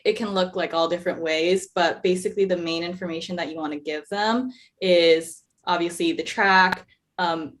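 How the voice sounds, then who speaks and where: A woman moderating a panel Q&A, calling on people by name and answering questions herself. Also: on the low side. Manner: friendly, calls on people by name. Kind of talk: hosting a panel Q&A.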